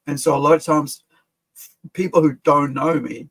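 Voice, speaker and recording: A middle-aged man from Fiji with an American-sounding accent, rambling and animated as he reacts to a video from his desk in a small room. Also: a little high, moderate pace, vocal fry, slightly rough. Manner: rambling and animated, with frequent restarts. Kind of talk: casual reaction commentary. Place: small room with collectible shelves, gaming chair.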